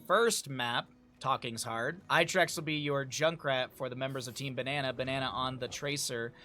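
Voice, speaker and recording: A hoarse man casting a live-streamed esports match, chatty and thinking aloud, often correcting himself. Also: medium pitch, moderate pace, sharp tone, soft background music.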